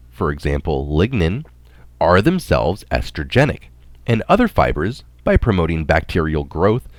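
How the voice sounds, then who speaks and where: Deep-voiced man reading aloud, very animated intonation. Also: no room sound. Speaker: narrator reading articles aloud. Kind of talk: scripted narration of a science article.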